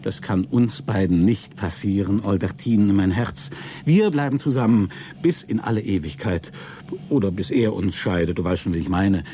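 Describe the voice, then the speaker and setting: A man's voice: lowish voice, moderate pace, warm tone, slight background noise, close to an everyday voice. An actor playing a smug, lecherous, delusionally upbeat male astronaut in a satirical science-fiction radio drama, pompous and wheedling in his grand speeches. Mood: wistful, clingy.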